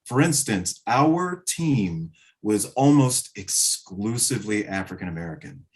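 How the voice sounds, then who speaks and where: A man answering as an interview guest, speaking conversationally in reflective, measured phrases. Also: on the low side, big pitch swings. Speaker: interview guest, play director.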